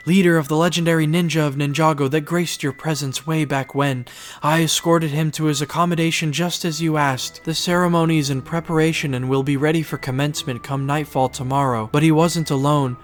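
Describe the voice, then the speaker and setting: A man playing a deferential servant who reports to his king in a formal, measured, reverent way. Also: fairly high pitch, natural voice.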